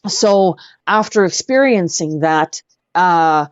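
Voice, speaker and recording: Low-voiced Canadian woman, dull lo-fi audio. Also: lively intonation, takes it slowly, smooth, no room sound. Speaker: guest, singer-songwriter and speaker. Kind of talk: conversational interview answers.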